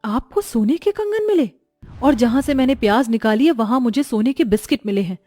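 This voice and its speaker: A woman playing a kind village mother and wife in a cartoon story, speaking in a gentle, earnest way. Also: on the high side, lively intonation, brisk, no room sound, studio quality, faint music behind. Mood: amazed.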